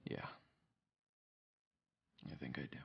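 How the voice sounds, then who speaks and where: A man's voice: slow, dull audio, natural voice. A man playing an earnest, easily flustered young hero who also narrates a fantasy audio drama. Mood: uneasy. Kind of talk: audio roleplay acting with first-person narration.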